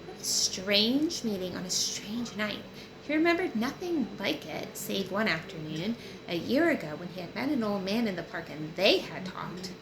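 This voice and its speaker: A woman's voice: medium pitch, sing-song, moderate pace. A woman reading a novel aloud as narrator, in a storytelling audiobook style. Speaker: book reader. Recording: roomy echo with clear background noise.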